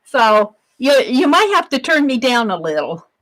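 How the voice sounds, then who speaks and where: A woman hosting an art livestream in casual chat, with a loud, projected voice, rambling and thinking aloud as she demonstrates. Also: sits high, very animated, moderate pace, rough recording.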